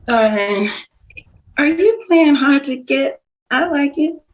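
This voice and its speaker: A woman's voice: high voice, expressive, slow pace. A woman playing a flirtatious, needy, melodramatic mother in a screenplay table read. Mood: coy, flirty. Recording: dull lo-fi sound.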